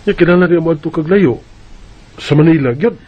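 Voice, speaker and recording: A man's voice: fairly high pitch, a little fast, dull audio, close to an everyday voice. An actor playing a worried, resigned husband and father in an earnest radio drama. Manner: earnest, hesitant. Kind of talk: radio drama acting with first-person narration.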